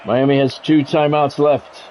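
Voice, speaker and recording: A man's voice: mid-range voice, measured pace, slight background noise. A middle-aged or older man giving live play-by-play of a football video game in short, clipped announcer phrases. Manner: announcer-like, short clipped phrases, excited calls on big plays.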